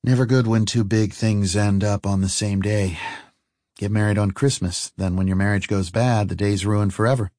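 A man's voice: lowish voice, fairly even intonation, normal speed, darkish tone, no echo, studio quality, close to an everyday voice. A man voicing an easygoing, friendly older male traveler in an audiobook, casual and laconic.

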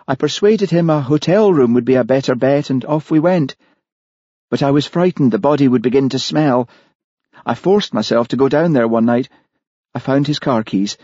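A man's voice: mid-range voice, dark tone, husky, no room sound, highs cut off, voice pushed up. A man voicing a woman who confesses to murder, unrepentant and matter-of-fact, in an audiobook. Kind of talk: audiobook character voice.

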